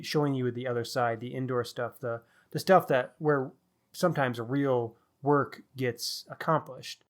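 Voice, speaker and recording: A man co-hosting a conversational book discussion, candidly thinking his opinions through aloud. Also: medium pitch. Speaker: podcast co-host.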